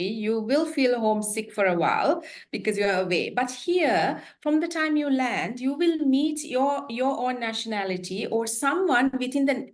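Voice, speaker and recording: A fairly high-voiced woman speaks as a panelist in an online webinar, taking her time to explain things thoroughly. She often restarts and corrects herself mid-sentence. Her voice comes through a video call. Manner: earnest, detailed, self-correcting.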